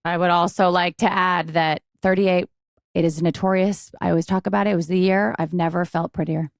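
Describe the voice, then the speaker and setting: A woman's voice: lowish voice, steady tone, brisk, vocal fry, no room sound, clean studio sound. A woman hosting a podcast, chatting informally and rephrasing her questions as she goes. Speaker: podcast host. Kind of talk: casual chat.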